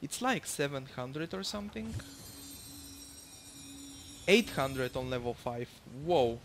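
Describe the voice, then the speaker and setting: A man's voice: mid-range voice, animated, slow pace, a bit bright, soft background music. A young man from Bulgaria with a Bulgarian accent, casually commentating his own match, rambling with lots of fillers and the odd swear.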